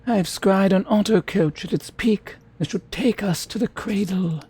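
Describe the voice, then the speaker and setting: A woman voicing a wary scrying guide in a fantasy video game, with husky voice and archaic, cautioning speech. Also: mid-range voice, measured pace, a little mellow. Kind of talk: video game character dialogue.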